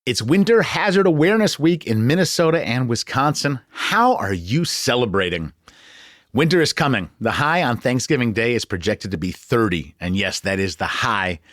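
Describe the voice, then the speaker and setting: A man's voice: medium pitch, lively intonation, moderate pace, a bit bright, no room sound, studio quality. A man hosting a news podcast in a conversational style. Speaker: podcast host.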